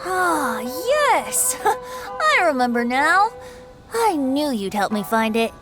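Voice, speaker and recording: A woman's voice: sits high, big pitch swings, slow, over music, exaggerated voice. A woman voicing a carefree, playful boyish young bard with a light voice and theatrical manner in a video game. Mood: delighted. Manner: playful, theatrical, flowery phrasing. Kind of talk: animated game dialogue.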